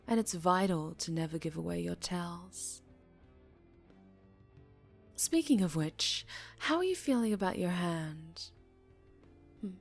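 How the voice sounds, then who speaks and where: A woman playing a dangerously charming female mafia boss in an ASMR roleplay, teasing and menacing, with deliberate purring delivery that drops toward a whisper. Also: lowish voice, heavily acted. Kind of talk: ASMR roleplay audio drama, addressing the listener.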